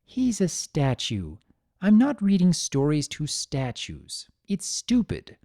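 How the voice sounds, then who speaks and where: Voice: medium pitch, huge pitch swings, slow, dark tone, dry recording, studio quality, voice pushed up, heavily acted. A performer voicing an impatient, scornful mare and mother with a curt, strained delivery, in a dramatic fan fiction reading. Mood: strained patience.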